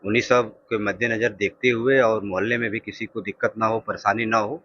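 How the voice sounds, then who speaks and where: A man's voice: lowish voice, fairly even intonation, a little fast, sharp tone. A male police officer giving a formal, explanatory statement to reporters. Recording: dull news interview audio, faint noise.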